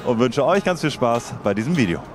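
A man's voice: medium pitch, lively intonation, brisk. A man in his thirties doing casual vox-pop interviews as host, on a handheld mic. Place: large exhibition hall at a gaming festival. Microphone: handheld reporter microphone. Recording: music laid over the voice.

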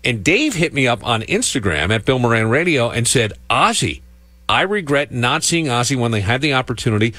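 A man's voice: medium pitch, big pitch swings, a little fast, pushed and bright, no echo. A man hosting a rock radio show, chatting conversationally and with animation between songs. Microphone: close studio broadcast microphone. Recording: music playing behind. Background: music.

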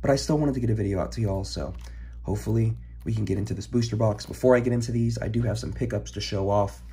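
A hoarse young man, recovering from an illness, chatting casually as he opens trading card packs at his desk, rambling with ums and throat clearing. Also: fairly low pitch, fast talker, warm tone. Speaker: card-collecting channel host. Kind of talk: casual pack-opening chat. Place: home room, filmed over a desk.

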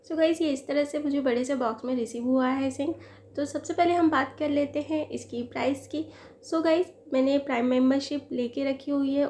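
A woman's voice: high-pitched, darkish tone, slight background noise. A woman in her thirties, a lifestyle vlogger who chats to viewers while unboxing a product at home, admitting she is no expert. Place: living room at home, indoors.

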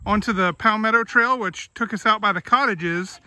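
Family vlogger dad, bright and forward voice, chatty trail narration on a handheld camera. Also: male voice, sits high, moderate pace, no echo. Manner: chatty, points things out as he walks. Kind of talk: casual vlog narration while hiking. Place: outdoor hiking trail in a coastal park.

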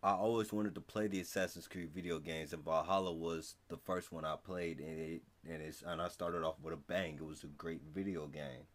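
A man's voice: low-pitched, fairly even intonation, moderate pace, few pauses. A young American man giving casual reaction commentary as a video host at home. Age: young adult. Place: small room at home, indoors.

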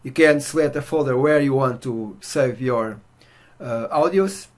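A middle-aged man from Portugal with a Portuguese accent, walking through a software demo in a relaxed way with many fillers. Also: medium pitch, a little slow. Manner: relaxed, filler-heavy walkthrough. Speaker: software reviewer. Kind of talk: casual screen-share demo. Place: indoor room at home.

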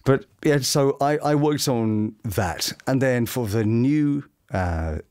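A middle-aged British man chats as a studio guest with a very lively, swooping delivery. He tells stories with open enthusiasm and lots of expression, at an average male pitch. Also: slow pace, clean tone. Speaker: voice actor interviewed as guest. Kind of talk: animated anecdotal interview chat. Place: small home studio room. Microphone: boom-mounted studio microphone.

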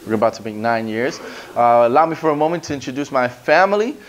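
A man, a guest pastor preaching and praying in an energetic, conversational way, with stumbles and restarts, on a small church stage with a handheld microphone. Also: mid-range voice, fast talker, slight background noise. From Brooklyn, New York. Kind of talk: sermon with prayer and scripture reading.

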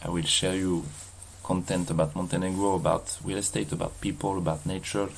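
Low-voiced Frenchman with a heavy accent. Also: little pitch movement, creaky, slight background noise, rough recording. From France. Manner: halting, many fillers and restarts. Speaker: vlogger. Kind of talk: casual walking vlog. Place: outdoors in a seaside mountain town.

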